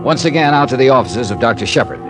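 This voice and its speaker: A man plays a hard-boiled American investigator from a fifties radio mystery. He is dry and sardonic, with a mid-pitched voice that moves up and down freely as he reports his case in blunt, clipped phrases. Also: animated, fast, over music, lightly acted. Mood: wry, brisk. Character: tough, wry freelance insurance investigator, male, narrating his cases. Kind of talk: 1950s radio detective acting, clipped hard-boiled narration.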